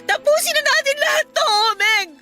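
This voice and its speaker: A woman's voice: very high voice, expressive, fairly quick, sharp tone, full character voice. A woman playing a heartbroken, long-suffering young girlfriend in a radio drama, in an emotional, pleading manner. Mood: anguished, angry. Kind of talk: Tagalog radio drama acting. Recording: music behind the voice.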